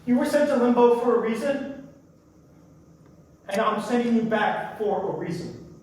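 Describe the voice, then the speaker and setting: A man performs an anguished keeper of souls in limbo with a fairly high voice and earnest, halting phrasing. It is a staged drama reading, captured at a distance in a strongly reverberant space with faint noise. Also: lively intonation, darkish tone. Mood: sad, resolute. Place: indoor stage before an audience.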